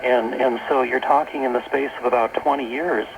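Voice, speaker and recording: An American man speaking as a radio interview guest, explaining haltingly and rephrasing as he goes, on a noisy shortwave tape. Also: medium pitch, steady tone. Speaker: talk show guest, author and workshop leader. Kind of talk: unscripted radio interview talk.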